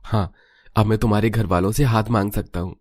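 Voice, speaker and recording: A man's voice: on the low side, steady tone, fairly quick, dry recording, studio quality, close to an everyday voice. A man playing an earnest, mild young man in a cartoon story, sincere and reassuring. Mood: hopeful. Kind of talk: Hindi cartoon story dialogue.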